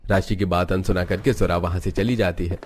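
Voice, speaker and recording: Low-pitched man narrating a cartoon story. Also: fairly even intonation, fairly quick. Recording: music bed under the voice.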